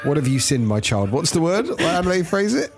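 A man's voice: on the high side, big pitch swings, a little fast, soft background music. Sniffly man with a cold. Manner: teasing, jokey, mock-solemn. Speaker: podcast co-host. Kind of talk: casual podcast chat.

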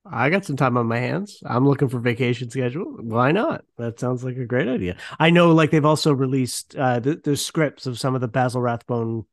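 A man hosting a podcast in casual, informal conversation, expressive and loose in his phrasing. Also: mid-range voice, fairly quick, few pauses, no room sound, clean studio sound. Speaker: podcast host.

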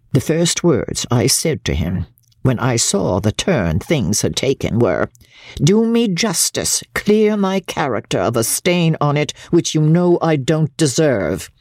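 A bitter, proud older woman from a Victorian novel, performed by a female narrator, confesses with haughty indignation. Her voice is gravelly and theatrical, rising and falling widely over precise diction. The sound is close and dry, as in an audiobook. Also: extremely low pitch, darkish tone, dry recording, full character voice. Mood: defiant, then indignant pleading. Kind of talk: dramatic audiobook reading of classic literature.

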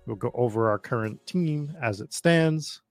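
A man's voice: medium pitch, lively intonation, measured pace, no room sound, faint music behind. An American man from the Boston area chatting informally and swearing freely as he hosts a casual live draft stream commentary. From Boston area, United States. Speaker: host of a fantasy football draft stream.

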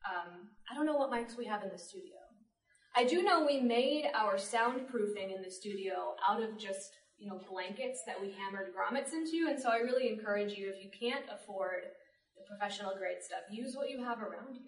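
A woman's voice: medium pitch, brisk. A woman talking casually as the moderator of a panel discussion. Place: public library panel room. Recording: echoey room, distant mic.

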